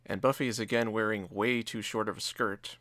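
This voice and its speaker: A man's voice: on the low side, steady tone, brisk, fairly bright tone, no room sound. A man co-hosting a review, casually recapping and commenting on a TV episode. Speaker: reviewer, co-host.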